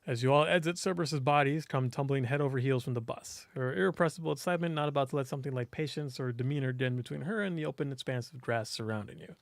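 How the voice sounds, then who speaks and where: A man reading a visual novel aloud as host, in expressive scripted narration. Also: mid-range voice, quick pace, gravelly, few pauses, no echo.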